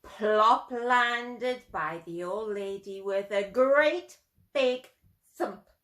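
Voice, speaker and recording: A woman reading a storybook expressively to young children, pausing to explain things simply. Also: mid-range voice, a bit bright.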